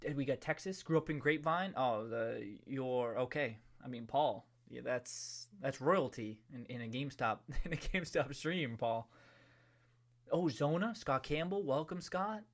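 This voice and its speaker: A man chats casually on his livestream, greeting viewers and answering their questions. He rambles and restarts, stacking up fillers as he thinks aloud. His pitch sits squarely in the middle range. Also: measured pace. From New England. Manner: loose and chatty, many restarts, hums a tune. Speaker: livestream host. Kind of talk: casual livestream chat reading viewer comments.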